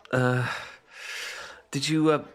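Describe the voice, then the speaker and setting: A young British man playing a shy, self-deprecating student in an audio roleplay, hesitant and stammering as he talks to his best mate. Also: medium pitch. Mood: awkward, wry. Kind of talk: ASMR boyfriend-style audio roleplay, casual monologue.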